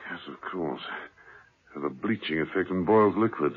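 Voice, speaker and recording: An actor playing a suave, calm male secret agent with a wry manner in a 1970s radio drama, on tinny old radio tape. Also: sits low, moderate pace, breathy edge, full character voice.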